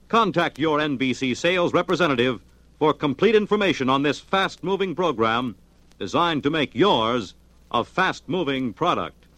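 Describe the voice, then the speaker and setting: A man delivering a polished, emphatic 1950s radio sales pitch as a network announcer. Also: lively intonation, normal speed, fairly bright tone, dry recording.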